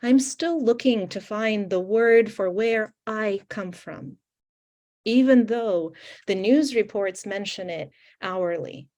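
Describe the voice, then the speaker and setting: A middle-aged woman from Ukraine whose first language is Russian, reading her poems slowly and deliberately over a video call. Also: mid-range voice, darkish tone. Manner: slow, deliberate reading with weighted pauses. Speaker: poet. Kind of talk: poetry reading. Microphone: video call microphone.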